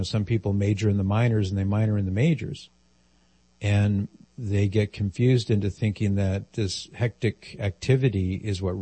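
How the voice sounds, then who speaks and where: Older male radio host, low and husky, close dry studio mic, calm fatherly advice to callers. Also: no room sound.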